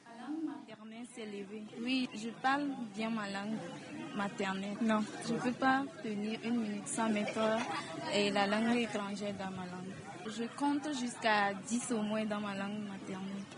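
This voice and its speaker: A woman's voice: medium pitch, steady tone, moderate pace, a crowd in the background. A woman answering a street interview in a plain, matter-of-fact way. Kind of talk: vox pop answer. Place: street outdoors. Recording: noisy outdoor street recording.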